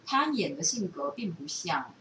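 A woman with a Taiwanese Mandarin accent chatting casually as a podcast co-host, giving animated, opinionated, rambling explanations. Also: lowish voice, moderate pace. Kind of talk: casual chat about films and series. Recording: roomy, distant mic with steady noise.

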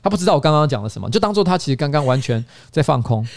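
Male podcast host, Taiwanese Mandarin, higher-pitched and fast-talking, playful teasing banter. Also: sing-song, creaky, dry recording. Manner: teasing, mock-scolding, quick to laugh. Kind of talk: casual comedic podcast chat.

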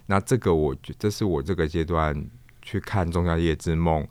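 A man's voice: low-pitched, a little fast, darkish tone, no room sound. A middle-aged man with a Taiwanese accent talking reflectively as an interview guest, searching for his words and repeating phrases. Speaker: guest, stage actor and storyteller.

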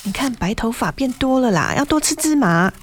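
A woman's voice: sits high, lively intonation, moderate pace, a little hiss or hum. A woman playing a critical, fretful mother who nags her grown son in a dramatized essay. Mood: fretful nagging. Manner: chiding, anxious nagging.

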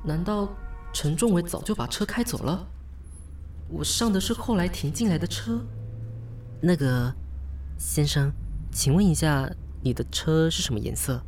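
低沉嗓音、慌張又自嘲的台灣年輕女子. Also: female voice, low-pitched, a little slow, long pauses, a little mellow, a little hiss or hum. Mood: polite, flustered. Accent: Taiwanese Mandarin. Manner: hesitant and polite aloud, blunt muttering to herself. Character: flustered, self-mocking young woman stranded in stranger's car. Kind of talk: audio drama acting with spoken inner monologue.

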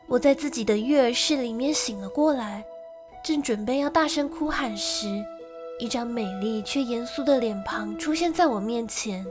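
An actress playing a lonely young gentlewoman who tells of a frightening childhood in an emotive radio drama style. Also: a little high, natural voice. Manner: storytelling, emotive.

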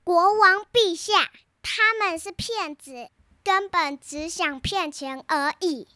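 A woman's voice: very high voice, slow pace, pushed and bright, no room sound, clean studio sound, heavily acted. A young girl playing an earnest, outspoken storybook heroine, reciting her lines carefully. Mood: accusing. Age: child.

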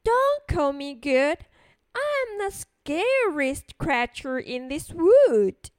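A small, crafty storybook mouse full of boasts, acted out by a woman. The voice is high and expressive, with bold, cocky delivery at a very leisurely pace. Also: drawn-out words, dry recording, studio quality, heavily acted. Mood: boastful. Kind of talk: storybook character voice.

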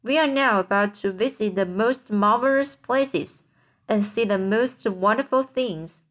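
A woman voicing a kindly, motherly female ladybug in a storybook reading, reassuring and halting now and then. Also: mid-range voice, natural voice. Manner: reassuring, hesitant with fillers.

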